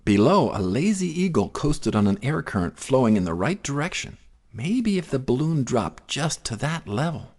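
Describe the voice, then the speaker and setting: A man narrating a children's story in an expressive, theatrical way. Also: mid-range voice, moderate pace, breathy edge. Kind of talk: dramatic children's story reading.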